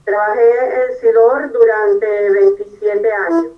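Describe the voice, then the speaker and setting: A woman's voice: fairly high pitch, slow pace, distant mic, phone-quality sound. A Venezuelan woman in her fifties, an engineer answering interview questions in earnest detail, often checking in with "¿verdad?". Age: 50s. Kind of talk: conversational interview.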